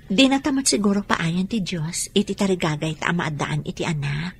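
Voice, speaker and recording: Gentle wife, played by a woman, faint background hiss. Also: lowish voice, a little fast, vocal fry. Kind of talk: Ilocano radio drama acting.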